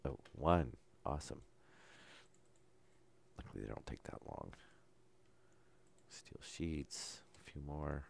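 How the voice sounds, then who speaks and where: A man calmly talking through a survival game as he plays, low-key and unhurried, with no put-on enthusiasm. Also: vocal fry, breathy edge. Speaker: gameplay commentator. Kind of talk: casual let's-play commentary.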